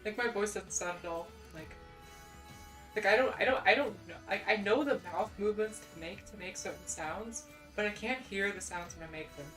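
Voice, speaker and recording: A woman's voice: mid-range voice, moderate pace, fairly bright tone, soft background music. Rambling young Midwestern woman streamer. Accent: General American (Midwestern). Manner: rambling, many "like"s and restarts, self-deprecating. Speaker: VTuber streamer. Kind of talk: casual stream chat.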